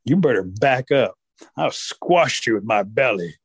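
Big storybook bear , done by a male storyteller: lowered, slow, stern, threatening, recorded dry. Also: lively intonation, slightly rough, no echo. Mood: annoyed, threatening.

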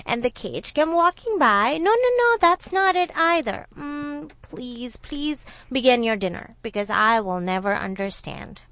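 A woman's voice: on the high side, animated, unhurried, hardly any pauses, fairly bright tone, no room sound, voice pushed up. A woman voicing a sly folk-tale jackal who plays a dithering, bewildered fool and repeats himself. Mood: flustered, bewildered. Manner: dithering, repetitive, mock-bewildered. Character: sly jackal feigning a dim-witted, flustered fool.

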